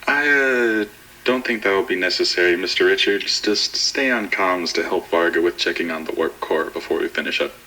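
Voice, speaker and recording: Guy doing a deep voiced spaceship pilot, crisp bright edge, calm and by the book. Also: moderate pace, low-quality recording. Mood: businesslike. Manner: procedural, matter-of-fact. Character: dutiful, calm male pilot commander of a spacecraft. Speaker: pilot and commander. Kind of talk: analog horror space audio drama.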